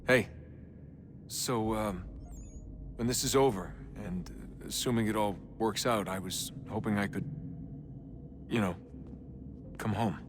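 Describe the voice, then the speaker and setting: An actor playing an earnest, subdued young man in a video game cutscene, speaking in a casual, low-key way. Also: slow pace, pauses often, background noise. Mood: hesitant.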